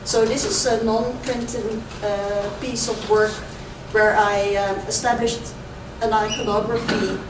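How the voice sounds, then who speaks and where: A woman with a Dutch accent, raised in the Caribbean, giving an artist talk with a hesitant, filler-heavy manner. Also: mid-range voice. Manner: hesitant, lots of ums and uhs. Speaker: visiting artist. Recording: echoey room from far off with noise.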